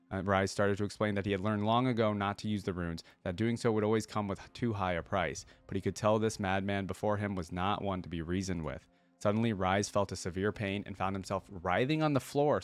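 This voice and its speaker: A man reading a fantasy story aloud expressively and adding casual remarks as a reaction host. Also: lowish voice.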